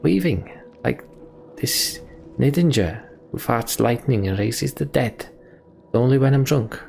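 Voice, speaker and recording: A sardonic, hard-drinking axe-thrower in a fantasy game, acted out by a man. He is a gravelly male rogue who grumbles in rough everyday speech, with faint background noise. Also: mid-range voice, lively intonation, unhurried, a little mellow, pitched above the performer's own voice. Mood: amused.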